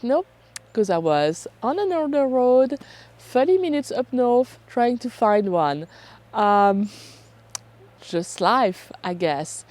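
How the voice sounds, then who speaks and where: A woman, hoarse from a cold, talking casually and chattily to camera as a self-deprecating vlog host. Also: medium pitch, a little mellow. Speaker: photography vlogger and host.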